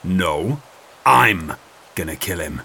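A man voicing a vengeful, brooding knight who speaks in low, grim, clipped lines in an audiobook. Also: expressive, slow pace, vocal fry, sharp tone, breathy edge, exaggerated voice.

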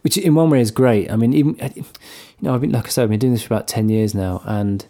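A man speaking casually as an interview guest, in a relaxed conversational way. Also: lowish voice, quick pace. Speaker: guest composer.

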